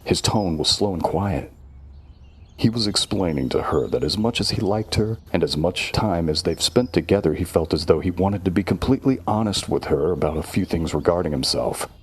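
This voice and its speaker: A man's voice: low-pitched, animated, moderate pace, husky. A man giving scripted narration of a horror audio story.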